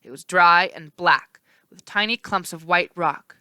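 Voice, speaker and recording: A woman narrates a children's novel in an expressive read-aloud style. Her voice is bright and smooth, at an average pitch. The sound is dry and close. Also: a little slow, no room sound.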